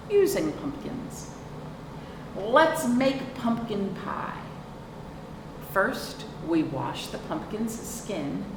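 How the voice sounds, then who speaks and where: A woman hosting a children's storytime, reading aloud expressively and prompting young listeners with simple questions. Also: mid-range voice. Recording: roomy, distant mic, clearly noisy.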